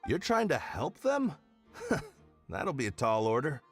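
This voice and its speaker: A man's voice: medium pitch, huge pitch swings, slow, heavily acted. A man voicing a mildly scoffing member of an investigation team in a video game. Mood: amused. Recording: faint music behind the voice.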